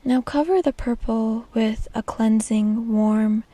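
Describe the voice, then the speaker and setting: A woman leading a guided meditation in a soft, breathy, half-whispered and soothing delivery. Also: fairly high pitch, fairly even intonation, slow, dry recording.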